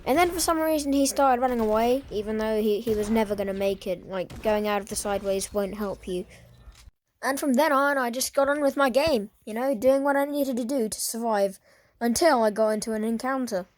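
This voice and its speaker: Woman w a sore throat, bit stuffy, self-deprecating play-by-play over game footage, slightly higher voice. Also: a little fast, a little mellow, no echo. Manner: dry, self-deprecating, matter-of-fact. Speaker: gaming video creator. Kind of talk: voice-over commentary on gameplay clips.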